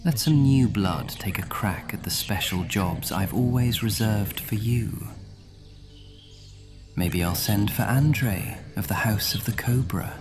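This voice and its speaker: A man playing an imperious, cold, centuries-old vampire lord in a fantasy audio roleplay, with a breathy, whispery, menacing drawl. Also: on the low side, slow, over music. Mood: scornful dismissal.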